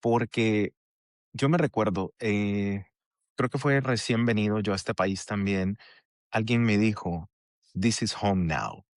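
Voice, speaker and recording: A man's voice: on the low side, slow, dry recording, clean studio sound. A man hosting a friendly podcast interview, talking in a reflective, conversational way and often giving short affirmations. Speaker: podcast host. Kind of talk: casual interview chat.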